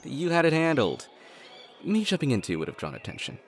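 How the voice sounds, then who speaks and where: A man playing a level-headed, slightly dorky adult brother in an audio drama, talking in a measured, wry way. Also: fairly high pitch, wildly swooping pitch. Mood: calm, deflecting.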